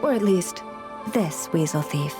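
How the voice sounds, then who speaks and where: An actress playing a gentle, caring woman who looks after forest animals, speaking in a soothing, measured way in a video game. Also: lowish voice, lively intonation, music in the background. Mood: kindly. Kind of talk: video game character dialogue.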